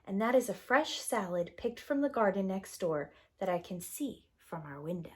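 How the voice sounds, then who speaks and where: A woman's voice: mid-range voice, lively intonation, moderate pace, breathy edge. A woman voicing an ailing young wife, weak and wistful, in a storybook tale. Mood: faint longing.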